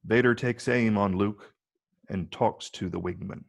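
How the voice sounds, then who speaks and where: A man with a low, gravelly voice reading screenplay stage directions as the narrator. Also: unhurried.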